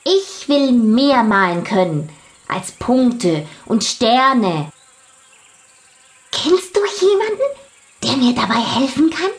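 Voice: medium pitch, very animated, takes it slowly, pauses often, voice pushed up. A narrator voicing an eager little female ladybug child in a children's audiobook. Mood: eager, pleading.

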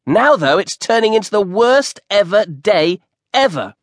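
A gloomy ten-year-old boy with a raised, boyish voice that whines and overplays every complaint, as an audiobook narrator puts him on. He swoops up and down in pitch, recorded close and dry. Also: very animated, unhurried, no room sound, exaggerated voice. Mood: dejected. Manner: whiny, exaggerated complaining.

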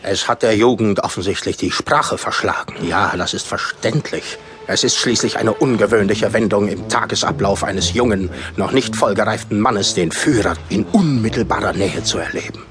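An actor playing a pompous, bewildered German dictator with stilted, declamatory, old-fashioned speech in a radio play. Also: male voice, on the low side, moderate pace, natural voice. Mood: pompous musing. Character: pompous bewildered revived German dictator, middle-aged man. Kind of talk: film radio play, inner monologue and dialogue.

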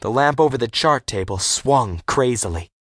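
A man with a medium-pitched voice tells a first-person adventure story. He reads expressively and articulates clearly, in a very dry studio sound suited to a young readers' audiobook. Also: animated, moderate pace, a bit bright, no echo. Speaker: audiobook narrator.

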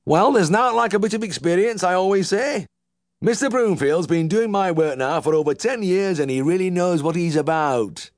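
A man's voice: sits high, lively intonation, normal speed, no echo, clean studio sound, voice pushed up. A man voicing a smug little old male farmer with a nasal West Riding Yorkshire twang, forever praising another vet. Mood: needling boastful.

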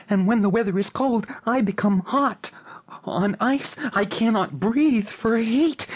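A woman voicing a bewildered, plaintive shivering man in a fairy-tale audiobook, with halting, drawn-out phrases. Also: medium pitch, slightly rough.

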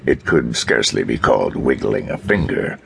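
A man narrates pulp fiction for an audiobook. His voice is exceptionally deep, with a husky grain. The reading is scripted and dramatic. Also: very animated, normal speed, a bit bright.

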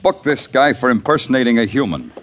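An actor playing a hard-boiled police lieutenant who narrates a 1950s radio crime drama, with a dry, wisecracking delivery. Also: male voice, medium pitch, very animated, a little fast, background noise, close to an everyday voice. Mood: stern. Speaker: narrator and lead.

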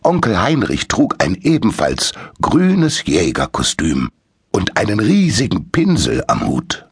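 A man reads a children's tale in a very deep, husky voice. His delivery is slow and full of dramatic swings in pitch, with a close, dry sound. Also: dry recording. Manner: slow, expressive, dramatic storytelling. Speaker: audiobook narrator. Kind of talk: scripted children's story narration.

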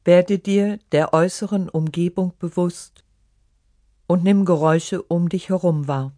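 A meditation guide reading a scripted relaxation in short, measured, instructive phrases. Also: medium pitch, steady tone, warm tone, no echo, studio quality. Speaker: yoga nidra meditation guide.

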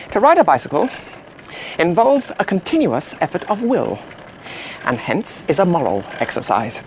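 A man's voice: a little high, a little mellow, a little hiss or hum, low-quality recording. An actor playing an earnest, nervous young public-school Englishman in a radio drama monologue, prim and rationalising. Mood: prim, uneasy.

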